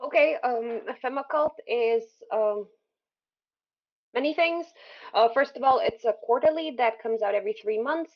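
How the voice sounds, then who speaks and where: A woman's voice: on the high side, moderate pace. Woman cohost explaining stuff, little laughs, muffled lo-fi stream sound. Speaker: co-host of a livestream. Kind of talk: casual livestream chat. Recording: dull lo-fi stream audio.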